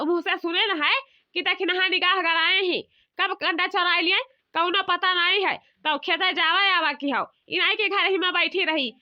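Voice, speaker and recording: Woman voicing a very high-pitched, bossy village housewife. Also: dull audio. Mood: bossy, warning. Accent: Awadhi. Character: bossy, fretful village housewife elder sister Motka. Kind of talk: comedy cartoon dialogue.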